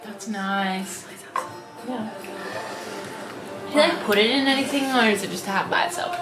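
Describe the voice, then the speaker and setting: Young woman, mid-pitched, casual vlogger. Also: normal speed, echoey room, over music. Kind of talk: casual chat.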